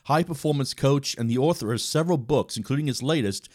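A man hosts his podcast and reads the intro with an upbeat, announcer-like delivery before asking his guest questions. His voice sits at a middle pitch, and the sound is dry and close. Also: quick pace, a little mellow, no echo. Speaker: podcast host. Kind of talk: scripted intro and interview questions.

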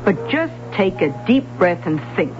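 A woman's voice: mid-range voice, sing-song, measured pace. A woman in a radio drama playing a wisecracking motherly older bar owner, blunt and slangy, on an old broadcast recording. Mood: pressing. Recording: muffled old radio broadcast, music behind.

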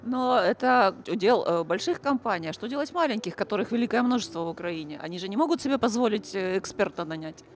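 A man's voice: high-pitched, expressive, fast, few pauses. A man co-hosting an interview, pushing back with skeptical questions. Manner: skeptical, challenging.

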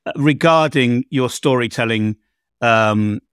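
English man hosting, dry and clear. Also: medium pitch, takes it slowly, fairly bright tone, no echo. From England. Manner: rambling, repeats words while framing questions. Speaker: podcast host. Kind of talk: conversational interview.

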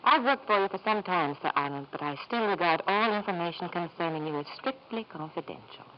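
A woman's voice: fairly low pitch, animated, a little fast, natural voice. On a dull, faintly noisy old radio recording, an actress plays a cool, clever secretary. She is formal and dryly poised, with widely moving intonation. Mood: dryly flirtatious.

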